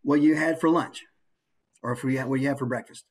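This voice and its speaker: A man's voice: medium pitch, fairly even intonation, fairly quick. A man leading an online class as an informal, encouraging instructor. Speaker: voice acting workshop presenter. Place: online video call.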